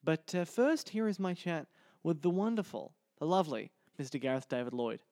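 A man's voice: a little high, lively intonation, moderate pace, darkish tone, no room sound, studio quality. A man presenting and interviewing as a podcast host.

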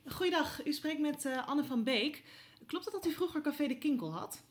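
Young newsroom reporter: somewhat high-pitched, eager, polite, hesitant yet probing, radio drama. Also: female voice, animated, normal speed. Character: eager, inquisitive young woman reporter, hesitant but persistent. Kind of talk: naturalistic audio drama dialogue.

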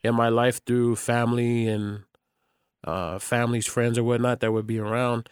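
Male podcast host, mid-pitched, close and dry, loose slangy conversational delivery. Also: flat delivery, moderate pace, smooth, dry recording. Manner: slangy, emphatic, often seeks agreement. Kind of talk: casual chat.

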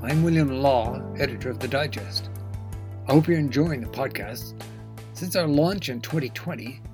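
A man's voice: fairly high pitch, dry recording, studio quality. A man hosting a podcast, reading introductions and putting questions to his guest in a presenter's style. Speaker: podcast host, editor. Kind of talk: scripted podcast introduction and interviewing.

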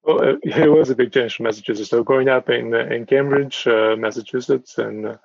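A middle-aged man from Portugal answering interview questions as a podcast guest, speaking haltingly and repeating words as he goes. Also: medium pitch, gravelly, no echo. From northern Portugal. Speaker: podcast guest, town mayor. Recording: dull, muffled lo-fi audio.

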